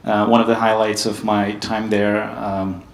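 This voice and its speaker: A man from Hungary giving a conference panel talk, steady and hesitant with many ums. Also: on the low side, measured pace, echoey room. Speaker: panel speaker. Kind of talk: conference presentation.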